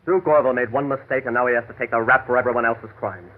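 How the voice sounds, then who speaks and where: Fast, sneering American tough guy acted by a man. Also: medium pitch, lightly acted. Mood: bitter, sneering. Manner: sneering, sarcastic, slangy. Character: bitter hostile rural tough man with a gun. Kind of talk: old-time radio mystery acting. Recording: muffled, tinny old radio recording.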